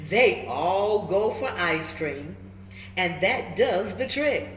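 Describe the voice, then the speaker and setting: A woman reading a children's story aloud in an expressive way, pausing to check in with her listeners. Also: lowish voice. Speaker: storybook reader. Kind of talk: animated children's read-aloud with asides to listeners. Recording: roomy echo with faint background noise.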